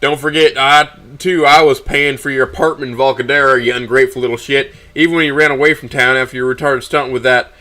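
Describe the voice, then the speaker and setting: A man acts out a middle-aged father in a dramatic audio story. He is cruel and contemptuous, talking down to his son with a venomous sneer and flat dismissal of anything said back. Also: medium pitch, a little slow, few pauses, clean tone.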